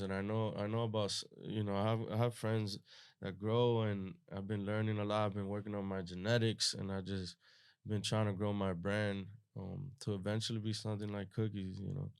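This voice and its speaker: A man's voice: fairly low pitch, clear, resonant tone. A man from Colombia whose first language is Spanish, talking as a podcast guest in a laid-back, slangy way. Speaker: guest, entrepreneur. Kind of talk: casual podcast talk.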